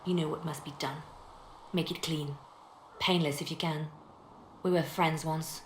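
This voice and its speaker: An actress playing a cool, weary, ruthless female spymaster in a video game, speaking in a measured, stern and controlled way. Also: deep voice, steady tone. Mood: cold resolve. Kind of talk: video game dialogue acting. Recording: roomy echo, faint background noise.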